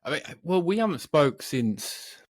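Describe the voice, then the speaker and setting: A man's voice: on the high side, very animated, measured pace. A British man co-hosting a podcast with unscripted, casual banter in a jokey, self-deprecating way. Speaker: podcast co-host.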